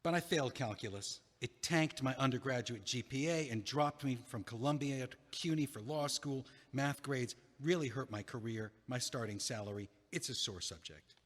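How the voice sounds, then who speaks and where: A man playing an earnest, easily flustered, loyal young friend in a naturalistic comedic audio play, speaking haltingly. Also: medium pitch, fairly bright tone, close to an everyday voice. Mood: earnest, insistent. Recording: echoey hallway-like reverb.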